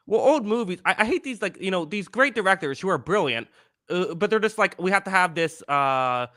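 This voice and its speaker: A man in his twenties hosting a podcast in casual chat, rambling with many fillers and restarts, with a higher-pitched voice. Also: expressive, a little fast, pushed and bright, no echo, clean studio sound. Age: 20s. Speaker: podcast host.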